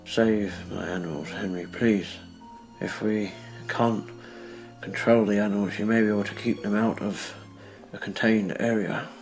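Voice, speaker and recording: An actor playing a frail, earnest, stubborn old businessman who speaks haltingly and pleadingly in a radio play. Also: fairly low pitch, fairly even intonation, soft background music, lightly acted, low-quality recording. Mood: urgent pleading. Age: older.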